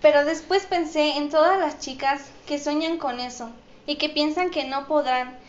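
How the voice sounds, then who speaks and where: A woman with a high voice and a Mexican accent answers interview questions. The sound is echoey and somewhat dull, as if taped in a bare room. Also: moderate pace. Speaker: interview guest, model.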